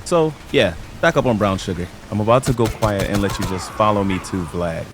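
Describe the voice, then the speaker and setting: A man's voice: lowish voice, a little fast, noisy background. A man narrating a casual game tutorial, laid-back and slangy, talking straight to his viewers. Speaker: gaming video creator.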